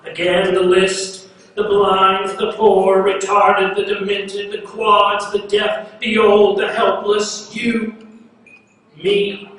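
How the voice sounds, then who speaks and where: Echoey, high-voiced man declaiming slow poetry. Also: monotone, fairly bright tone. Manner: declamatory, incantatory, with insistent repetition and emphatic pauses. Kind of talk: dramatic spoken-word poetry recitation. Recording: very echoey hall, distant mic, faint noise.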